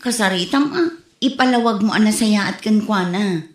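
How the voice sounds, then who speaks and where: A woman acts a fed-up daughter-in-law in a comedy drama, airing her complaints in a medium-pitched voice. There is a clear room echo. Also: normal speed, close to an everyday voice. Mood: exasperated. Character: fed-up daughter-in-law complaining about a stingy old father-in-law. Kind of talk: Ilocano comedy radio-style drama. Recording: roomy echo on the voice.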